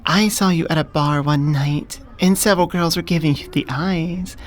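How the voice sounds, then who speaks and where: Low, soft-spoken smitten elf woman voiced by an actress. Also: moderate pace, close to an everyday voice. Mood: tender, smitten. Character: smitten, businesslike elf woman, dominant HR manager confessing love. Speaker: voice actor. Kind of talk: soft-spoken binaural ASMR roleplay.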